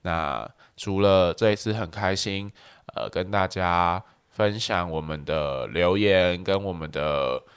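A man's voice: deep voice, slow pace, clean tone. A man with a Taiwanese accent hosting a podcast, explaining things in a casual way. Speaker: podcast host.

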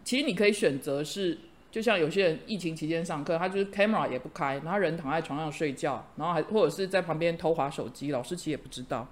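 Man, middle-aged, earnest, encouraging, idiom-heavy, somewhat high pitch. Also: fast talker, hardly any pauses. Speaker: teacher and podcast host. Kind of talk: casual chat.

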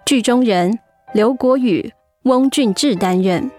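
Female radio drama announcer, unhurried, mid-pitched, scripted read over faint music. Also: darkish tone.

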